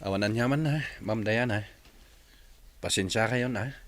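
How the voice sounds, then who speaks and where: A man's voice: lowish voice, moderate pace, natural voice. A man acting in a radio drama as a heartbroken young jeepney driver, polite and subdued. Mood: polite, shy.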